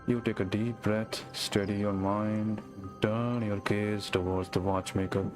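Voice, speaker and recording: A man's voice: fairly low pitch, level tone, measured pace. A man doing a game playthrough, commenting casually and reading story text aloud. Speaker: gaming streamer. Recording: game music behind the voice. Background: game music.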